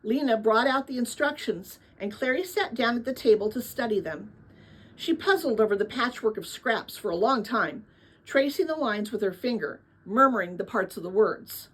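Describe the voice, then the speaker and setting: Expressive, slightly husky woman reading aloud. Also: on the high side, moderate pace, rough recording. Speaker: read-aloud narrator. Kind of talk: expressive storybook narration with casual asides.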